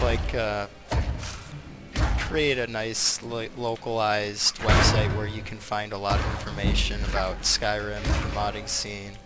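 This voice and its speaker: A man giving casual live video game commentary as a streamer, rambling and thinking aloud with halting pauses. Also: medium pitch.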